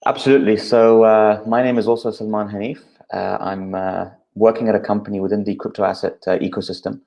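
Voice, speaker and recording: A man, a guest calmly explaining things in an interview, with frequent uh fillers. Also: fairly low pitch, brisk, gravelly. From United Kingdom. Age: 30s.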